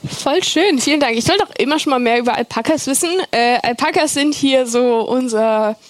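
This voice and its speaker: A woman's voice: sits high, moderate pace, slight background noise. A woman hosting a youth event, thanking presenters and asking questions in a chatty, filler-heavy way. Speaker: host. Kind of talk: event moderation.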